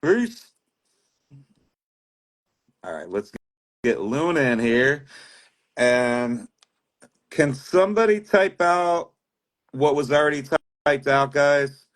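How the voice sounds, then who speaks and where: A man hosting a livestream, relaxed, friendly and chatty with guests and viewers. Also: mid-range voice, takes it slowly, pauses often. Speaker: livestream host.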